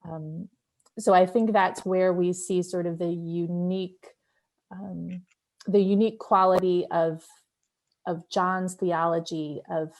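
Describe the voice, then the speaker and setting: A woman's voice: lowish voice, steady tone, slow. A woman leading a church Bible study in a casual, reflective discussion style, often checking in with listeners as she explains. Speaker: group leader and pastor of a church Bible study.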